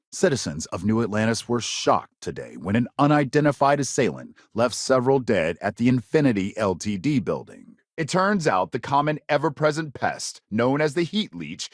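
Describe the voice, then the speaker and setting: An actor playing a polished, dramatic male news anchor in a sci-fi game, with crisp, measured, emphatic broadcast phrasing. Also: lowish voice, no echo, clean studio sound. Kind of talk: scripted broadcast news delivery.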